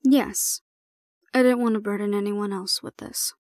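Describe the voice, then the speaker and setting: Woman acting as stern, composed supervisor for an audio drama; formal, deliberate delivery, dry close sound. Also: medium pitch, steady tone, a little mellow, no room sound, natural voice. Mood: calm, formal. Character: composed, stern female supervisor handling a dangerous ward. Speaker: voice actor in audio roleplay. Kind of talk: scripted audio roleplay acting.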